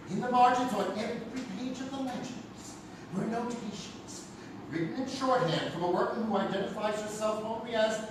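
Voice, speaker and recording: Ominous high-pitched actor as male narrator, echoey stage. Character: ominous male narrator of eerie local legends. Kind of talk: dramatic scripted narration of a spooky anthology tale. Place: theatre stage, live show. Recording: echoey theatre stage, distant mic, noisy.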